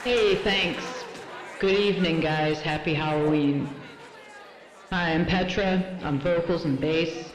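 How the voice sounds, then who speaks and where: A woman's voice: low voice, slow pace. A woman playing a laid-back singer introducing her band from the stage in an audio drama. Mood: casual, friendly. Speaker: band frontwoman. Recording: echoey venue, long reverb, crowd noise.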